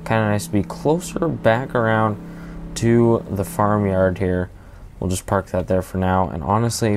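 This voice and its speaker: A man's voice: deep voice, darkish tone, slight background noise. A man hosting his own gaming channel, narrating a farming game in easygoing, rambling commentary with plenty of ums and uhs. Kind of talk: casual gameplay commentary.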